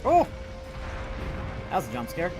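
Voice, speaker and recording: A man's voice: fairly high pitch, wildly swooping pitch, unhurried, over music. A young man with a youthful-sounding voice, chatting casually and rambling as he livestreams a video game, informal and free with swearing. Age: young adult. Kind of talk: casual gaming commentary and chat.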